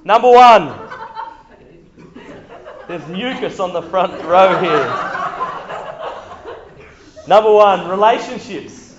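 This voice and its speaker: A man with a croaky voice, leading an interactive workshop talk before a live audience in a room. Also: sits high, takes it slowly, pauses often, a crowd in the background. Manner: chatty, upbeat, quizzes the audience. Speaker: workshop presenter on youth ministry. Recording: roomy, muffled room recording.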